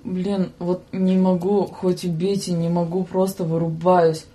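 A woman's voice: lowish voice, little pitch movement, a little slow, warm tone. A woman in her twenties chatting as a podcast guest, telling stories casually, acting out dialogue and chuckling between phrases. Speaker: guest, student train conductor and video operator. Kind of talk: lively casual storytelling in a podcast chat. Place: podcast studio.

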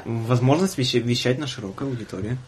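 A man's voice: mid-range voice, quick pace, heavy background noise. A man hosting a casual radio interview show with playful, teasing banter.

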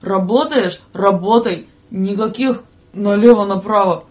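A woman's voice: medium pitch, measured pace, darkish tone. A woman chatting casually and jokingly as a guest on a radio talk show.

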